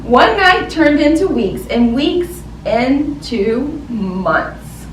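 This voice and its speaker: A woman's voice: sits high, lively intonation, extremely slow. A woman storyteller reading a picture book to young children in a sing-song, child-directed way. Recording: roomy, distant mic, faint noise.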